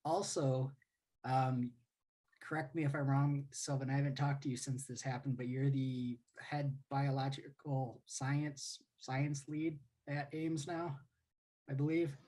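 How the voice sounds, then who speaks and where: A man hosting an online session, introducing a guest speaker in a halting, casual way with many fillers. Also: medium pitch, level tone, dark tone, rough recording. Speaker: host.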